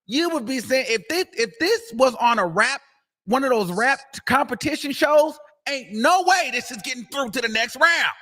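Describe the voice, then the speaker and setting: A man's voice: extremely high pitch, animated, normal speed, pushed and bright. A man hosting a live stream, giving emphatic opinions in casual chat. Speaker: host.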